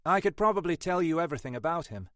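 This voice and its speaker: Guy voicing smug posh english kid, 20s, tech whiz, lively pitch. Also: quick pace, no echo, clean studio sound. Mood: cocky. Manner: cocky, self-assured. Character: Sean, cocky young tech expert, 26, posh.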